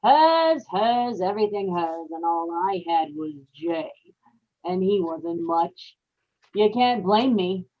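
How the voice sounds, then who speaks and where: A woman's voice: medium pitch, expressive, takes it slowly, lo-fi sound. A woman playing a tormented, bitter young wife confessing to murder in an old-time radio horror drama, in an anguished dramatic delivery. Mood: bitter, resentful.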